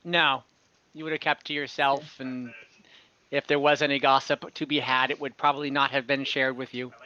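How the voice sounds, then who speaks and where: A man narrating as game master of an online tabletop game, describing the scene in a relaxed way with small restarts. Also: mid-range voice, moderate pace. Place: home room over online voice chat. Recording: dull online call audio.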